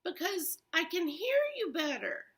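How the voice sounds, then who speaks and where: A woman voicing a sly male wolf pretending to be a frail grandmother in a storybook tale, in a high squeaky sing-song. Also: big pitch swings, unhurried, fairly bright tone, heavily acted.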